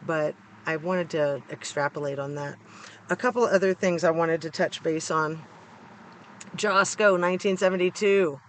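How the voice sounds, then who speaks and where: A middle-aged American man speaks in a hoarse, stuffed-up voice that sits a little high. He talks informally and emphatically, like a vlogger sharing opinions with viewers. The recording is made inside a car, with some faint background noise. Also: a bit bright. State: hoarse, congested from a cold. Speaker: true-crime vlogger. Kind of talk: casual opinion commentary to viewers.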